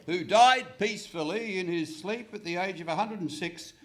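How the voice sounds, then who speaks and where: A man's voice: high-pitched, moderate pace, close to an everyday voice. A man playing a self-important Scotland Yard inspector with a grand, declamatory manner in a radio comedy. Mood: grand, jaunty. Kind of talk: absurd radio comedy acting. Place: hall stage, live performance.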